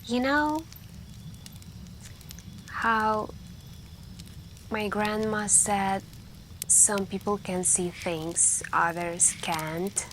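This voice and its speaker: Very slow, soft-spoken woman telling campfire stories. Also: medium pitch. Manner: hushed, drawn-out, pausing, intimate, with stretches of whispering. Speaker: roleplay storyteller playing a girlfriend on a camping trip. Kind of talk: soft-spoken ASMR storytelling roleplay. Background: campfire crackling and night ambience.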